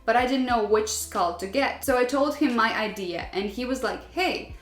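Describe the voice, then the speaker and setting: A woman's voice: fairly high pitch, moderate pace, roomy sound. A young woman who is not a native English speaker chats casually while reading out viewer stories, relating to them and laughing often. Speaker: vlogger.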